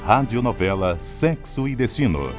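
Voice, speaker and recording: A man's voice: mid-range voice, sing-song, normal speed. A man with a Brazilian accent reading scripted radio announcements in a formal, emphatic way. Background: prominent music.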